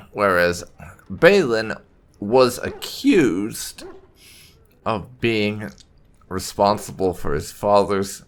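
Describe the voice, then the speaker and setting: A man hosting a video game playthrough, explaining things in halting, unscripted commentary as he thinks aloud. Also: low voice. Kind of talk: unscripted let's-play commentary.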